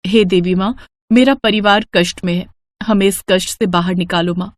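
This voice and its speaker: A woman's voice: medium pitch, steady tone, normal speed, dry recording, clean studio sound, lightly acted. A woman voicing a poor, devout, caring village wife and mother in an earnest, pleading way for a story. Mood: prayerful pleading.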